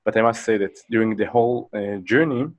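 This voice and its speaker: A man, a Hebrew speaker, answering as a guest in a casual interview, talking in halting bursts with many fillers and restarts. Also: on the low side, fairly even intonation, muffled recording. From Israel.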